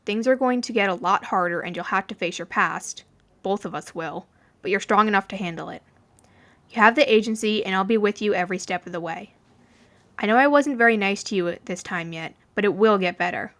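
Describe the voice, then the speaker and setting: A woman's voice: medium pitch, fairly quick, a bit bright, natural voice. Woman voicing a stern, reassuring young mafioso. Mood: earnest, reassuring. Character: young male mafioso from the future, stern but gently reassuring.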